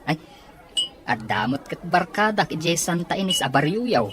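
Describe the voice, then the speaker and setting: A woman's voice: on the low side, very animated, normal speed, a crowd in the background, noisy background. A woman playing a chatty, obliging office underling in a serial drama, over music. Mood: friendly, probing. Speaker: actor in a Filipino serial drama. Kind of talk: acted drama dialogue. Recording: music behind the voice.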